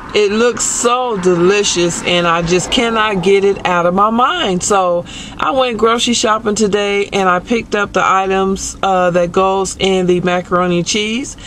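A middle-aged woman vlogging about cooking, her voice hoarse from a cold, chatting eagerly to viewers. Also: mid-range voice, fairly bright tone, slight background noise. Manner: chatty, enthusiastic. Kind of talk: casual cooking vlog. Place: parked car. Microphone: phone camera held close.

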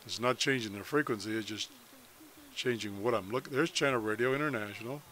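A man's voice: mid-range voice, fairly quick, a bit bright. An American man giving a casual screen-share tutorial as a hobbyist, unscripted and thinking aloud as he goes. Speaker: amateur radio hobbyist demonstrating a website.

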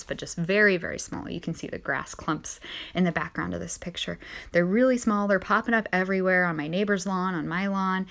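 A young woman from the eastern United States, chatty and upbeat, leading young children through a casual nature-walk lesson, recorded outdoors on a phone held at arm's length. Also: fairly low pitch. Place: outdoors in a sunny neighborhood.

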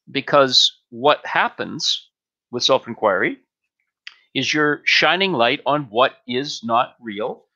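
An older man speaks as a spiritual teacher in a live Q&A. His voice is mid-pitched and bright, with measured, halting phrasing and emphatic short statements. The sound is slightly dull, as from a headset on a video call. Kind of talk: spiritual Q&A talk, explanatory.

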